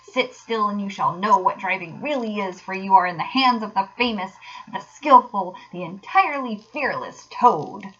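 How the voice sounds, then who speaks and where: A woman's voice: mid-range voice, fairly even intonation, normal speed, gravelly, hardly any pauses, dull audio, slight background noise, natural voice. A woman voicing a boastful, reckless male toad who crows in self-admiring declamation for a storybook. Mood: reckless boasting.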